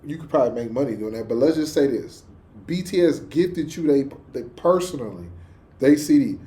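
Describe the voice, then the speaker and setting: A man's voice: medium pitch, animated, normal speed, warm tone. A man chatting casually in a slangy, conversational way as host of a reaction show.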